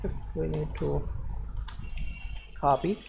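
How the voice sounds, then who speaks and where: A man presenting an unscripted screen-share programming tutorial, giving halting step-by-step instructions in short phrases. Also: fairly high pitch, fairly even intonation, creaky, muffled recording, slight background noise.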